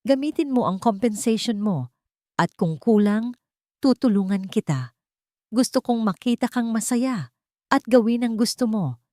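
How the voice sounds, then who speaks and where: A woman's voice: on the high side, animated, measured pace, long pauses, dark tone, dry recording, clean studio sound, voice pushed up. A woman voicing a devoted, supportive businessman in love in an audio romance story, earnest and encouraging. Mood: tender, earnest.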